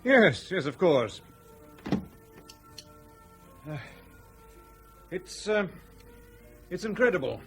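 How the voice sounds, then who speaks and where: An actor playing a tactful, wry family friend who probes gently and a little hesitantly in a radio drama. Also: male voice, high-pitched, sing-song, drawn-out words, long pauses, soft background music, exaggerated voice. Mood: wry, probing.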